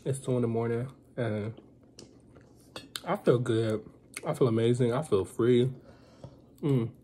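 A man's voice: mid-range voice, a little slow. A young man chatting casually to his phone camera from inside a car, laid-back and self-mocking. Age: young adult. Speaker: vlogger. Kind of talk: casual vlog chat. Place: parked car interior. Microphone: phone held at arm's length. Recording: noisy car interior.

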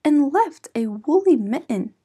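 A woman voicing an excitable, curious little bear cub in an eager, expressive storybook delivery. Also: sits high, measured pace, pitched above the performer's own voice. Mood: indignant.

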